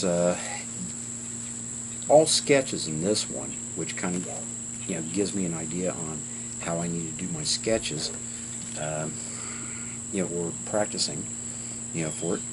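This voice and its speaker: Low-voiced halting man, noisy outdoor recording. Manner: halting, rambling delivery with frequent verbal fillers. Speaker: blacksmithing teacher and channel host. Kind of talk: informal instructional talk to camera. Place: outdoors on a house porch.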